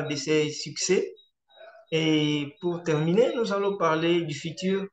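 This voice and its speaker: A man from eastern Congo, a Swahili speaker, giving a measured online presentation as a conservationist with frequent hesitation fillers. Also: a little high. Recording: roomy echo.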